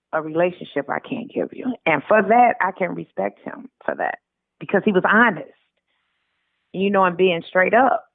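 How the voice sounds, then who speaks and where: A woman's voice: mid-range voice, no echo. Over a narrow, tinny telephone line, a woman speaks as an interview guest in a mid-range voice. Her talk is casual and candid, with blunt, colloquial phrasing. The line is dry, with no room sound. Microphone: telephone call.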